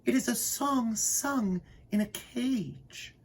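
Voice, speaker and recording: A man's voice: very high-pitched, slow, husky, pitched above the performer's own voice, full character voice. A man voicing a pompous, puffed-up pet canary in a storybook, chirping out poetic lines. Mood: proud, lyrical.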